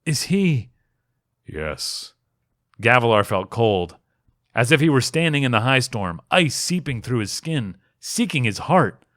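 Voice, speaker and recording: A man reading a fantasy story aloud as dramatic audiobook narration, expressive and with deliberate pauses. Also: mid-range voice, no echo, studio quality. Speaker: amateur reader, a fan.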